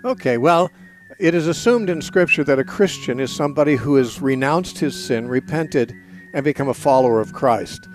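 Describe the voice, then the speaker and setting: A man hosting a call-in radio Bible teaching show, speaking earnestly and steadily with frequent pauses and "uh"s. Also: medium pitch, animated, faint music behind.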